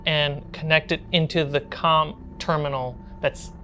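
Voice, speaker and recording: A woman's voice: sits low, fairly even intonation, unhurried, fairly bright tone, a little hiss or hum. A woman game master narrating and refereeing a tabletop role-playing game.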